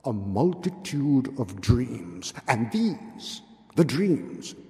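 An older man giving a dramatic, deliberate literary reading of a gothic tale, with hushed drops and swells, at a lectern microphone. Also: medium pitch, very animated. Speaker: reader at a public recitation. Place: large indoor meeting hall, at a lectern. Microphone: gooseneck lectern microphone. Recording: echoey hall with long reverb.

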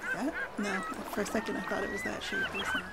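A woman's voice: a little high, huge pitch swings, quick pace, very noisy. A woman casually commentating on a game with a co-player, making wry, mock-exasperated remarks. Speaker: podcast-style game-playing host.

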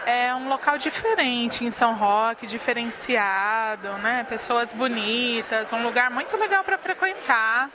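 Brazilian female customer, young, higher voice, casual interview in noisy restaurant. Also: lively intonation, a little slow, few pauses. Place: busy restaurant. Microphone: handheld interview microphone.

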